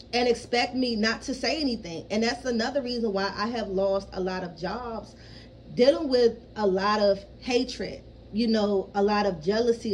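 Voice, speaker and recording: A woman's voice: on the high side, slight background noise. Blunt, swearing woman in her thirties. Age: 30s. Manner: frank and blunt, swears freely; rambling, keeps asking "you know what I mean". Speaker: vlogger. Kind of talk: casual personal vlog talk.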